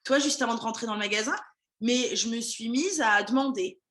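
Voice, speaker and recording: A woman's voice: a little high, brisk, fairly bright tone. A woman running a language school, chatting casually in an interview with many fillers and restarts.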